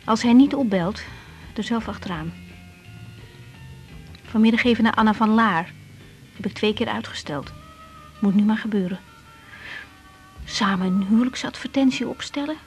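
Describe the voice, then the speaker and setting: A woman's voice: mid-range voice, normal speed, pauses often, a little mellow, faint music behind, close to an everyday voice. A woman playing a troubled, strong-willed young doctor in a radio drama, introspective and muttering, with a bitter edge. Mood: brooding. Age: young adult. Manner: introspective, muttering to herself, bitter when provoked.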